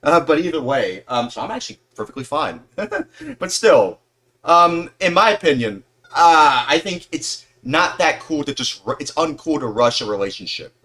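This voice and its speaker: A man's voice: a little high, very animated, moderate pace, bright, forceful tone. A man in his mid-twenties chatting casually as a livestream host, rambling earnestly and often repeating and rephrasing himself.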